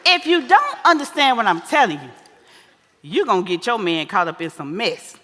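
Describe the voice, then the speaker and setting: A woman's voice: medium pitch, very animated, bright, forceful tone, some chatter behind, a little hiss or hum. A woman on stage playing a bawdy, boisterous older mother who schools her grown daughter, sassy and preachy in a big theater hall. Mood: admonishing. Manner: sassy, preachy, exclamatory, drawn-out emphasis. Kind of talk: broad comedic stage play acting. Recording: echoey theater hall with long reverb.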